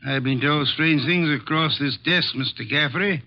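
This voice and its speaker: A ponderous, brilliant detective played by a man in a 1940s radio drama. The voice sits fairly high for a man and crackles with gravel, with pompous, deliberate, testy phrasing. The recording is dull and old. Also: natural voice. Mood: formal, skeptical.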